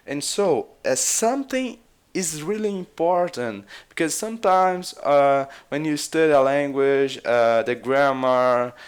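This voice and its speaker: A man's voice: fairly high pitch, a little slow, smooth. A man from Brazil with a Brazilian Portuguese accent, a native Portuguese speaker giving casual advice on learning languages, hesitant and full of filler sounds. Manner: hesitant, many filler uhs, repeats himself. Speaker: language vlogger.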